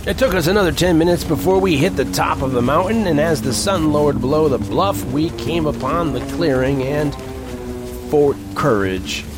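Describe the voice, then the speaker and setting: A man playing an earnest reporter who narrates an eerie western expedition in a deliberate, descriptive way for an audio drama. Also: fairly high pitch, expressive, lightly acted. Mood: earnest, foreboding. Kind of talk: first-person scripted audio drama narration. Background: music.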